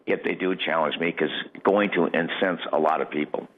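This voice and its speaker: A man's voice: a bass voice, steady tone, a little fast. An American man giving an emphatic, rambling political interview as a guest candidate, often repeating phrases for stress. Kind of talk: political interview, impassioned off-the-cuff argument. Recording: tinny, narrow phone-like line.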